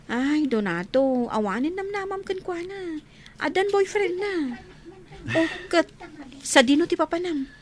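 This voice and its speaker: A woman's voice: extremely high pitch, lively intonation, measured pace. A woman playing a teasing, dismissive teenage schoolgirl in a village comedy radio drama.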